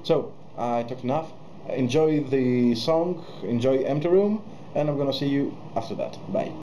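A man in his thirties, a musician hosting his own channel, talks casually to camera in a small room at night, pausing often with fillers and restarts. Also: medium pitch, lively intonation, warm tone, slight background noise, low-quality recording. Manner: hesitant, many fillers and restarts. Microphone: webcam close to face.

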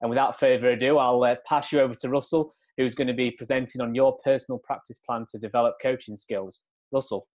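A man hosting a webinar, giving brisk, organised announcements before handing over to the speaker. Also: medium pitch, fairly even intonation, a little mellow. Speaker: event host. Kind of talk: webinar introduction.

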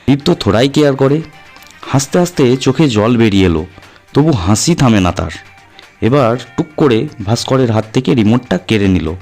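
A man's voice: mid-range voice, lively intonation, moderate pace, a little mellow. A man reading a horror audio story as a narrator, in a dramatic scripted style. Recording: music under the voice. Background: background music.

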